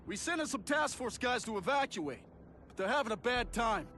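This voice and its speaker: Urgent cop, strikingly high, forceful. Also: male voice, lively intonation, moderate pace, a little hiss or hum, exaggerated voice. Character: urgent, harried male police officer. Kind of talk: video game cutscene acting.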